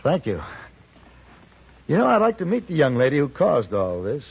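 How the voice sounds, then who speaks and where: An actor playing a shrewd American gunfighter for hire, measured and dryly commanding, in a radio western. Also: male voice, a little high, huge pitch swings, gravelly, husky, full character voice. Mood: calm, dry. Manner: measured, articulate, dry, commanding. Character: calm, shrewd, goading middle-aged Western gunfighter for hire. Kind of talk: 1950s radio western acting. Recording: muffled old radio recording.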